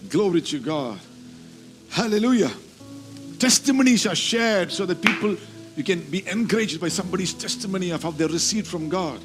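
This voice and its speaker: A man's voice: high-pitched, lively intonation, normal speed, music in the background. A man preaching a sermon, emphatic and repeating key phrases as he teaches from scripture. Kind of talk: sermon with scripture reading and call-and-response.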